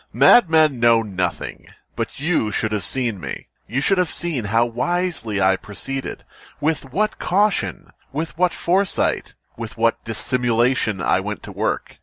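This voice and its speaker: A man's voice: mid-range voice, very animated, unhurried, fairly bright tone, dry recording. A man hosting a podcast, reading a story aloud theatrically and breaking off into jokey, sweary banter. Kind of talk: dramatic story reading mixed with joking banter.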